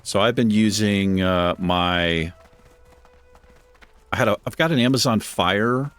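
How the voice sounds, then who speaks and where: A man with a deep voice hosting a gaming channel, chatting casually and self-deprecatingly while he plays. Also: slow, fairly bright tone, clear, resonant tone. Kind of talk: casual gameplay commentary. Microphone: desk microphone.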